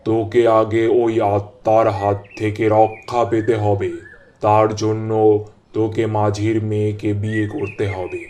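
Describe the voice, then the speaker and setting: A man voicing an all-knowing, commanding holy man in a cartoon, measured and authoritative. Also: on the low side, completely flat delivery, darkish tone, a little hiss or hum, lightly acted. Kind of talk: cartoon voice acting.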